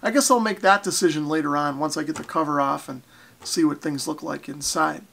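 A man's voice: fairly high pitch, fairly quick, gravelly, fairly bright tone. An American man in his forties explaining things casually to camera, in an unpolished, self-deprecating hobbyist way. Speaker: ham radio vlogger. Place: basement radio workshop indoors.

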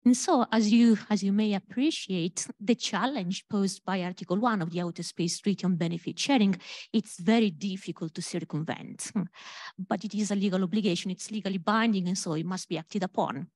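A woman with an Italian accent giving a measured conference talk as a legal scholar, humming between points. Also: medium pitch, a little mellow, dry recording. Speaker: panel speaker, legal scholar. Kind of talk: conference presentation. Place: conference stage, large hall.

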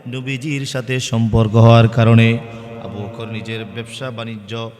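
A man delivering an impassioned Islamic sermon, half-chanting the story with drawn-out singsong phrases that swell into loud cries. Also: medium pitch, level tone. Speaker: Islamic preacher. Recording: long hall reverb, faint music.

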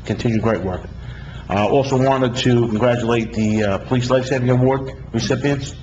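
A middle-aged man, a councilman, giving informal, halting, complimentary remarks at a public meeting. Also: medium pitch, darkish tone. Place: indoor council chamber. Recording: slightly muffled, faint room noise.

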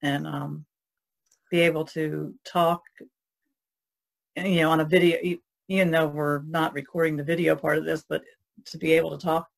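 A woman co-hosting a casual podcast over a video call, halting as she searches for words. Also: sits low, little pitch movement, vocal fry. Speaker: podcast co-host, artist. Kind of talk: casual chat. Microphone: video call microphone.

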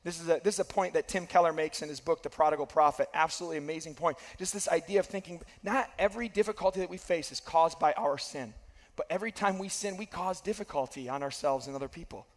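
A man in his thirties preaches from a large church stage, his fairly high voice worn a little hoarse. He speaks earnestly and drives points home by repeating them. The sound carries a long reverb from the hall around him. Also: quick pace. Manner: earnest, repeats key phrases for emphasis. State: losing his voice, slightly hoarse. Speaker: pastor. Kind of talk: sermon.

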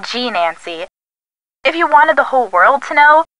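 A woman voicing a sardonic, quick-witted young female sidekick, wry and teasing, in a video game. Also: high voice, big pitch swings, a bit bright, no room sound, poor audio. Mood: sarcastic. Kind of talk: video game voice acting, phone dialogue.

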